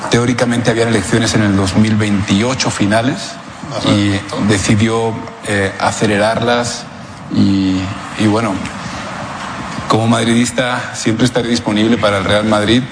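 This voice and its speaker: A man giving halting remarks to reporters, with many restarts and pauses. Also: on the low side, little pitch movement. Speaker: interviewee. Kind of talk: recorded press remarks. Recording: roomy with loud background noise.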